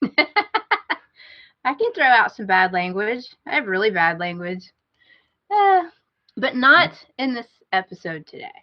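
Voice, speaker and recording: A woman's voice: fairly high pitch, very animated, slow pace, long pauses, highs cut off. A woman co-hosting a podcast in casual, unscripted chat, hesitating and repeating words for emphasis as she gives a recommendation. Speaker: podcast co-host giving a recommendation.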